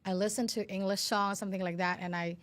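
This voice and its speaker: A woman's voice: medium pitch, fairly even intonation, quick pace. A woman in her thirties with a Vietnamese accent, telling her story earnestly as an interview guest in non-native phrasing. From Vietnam, Hanoi. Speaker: interview guest, educator and CEO.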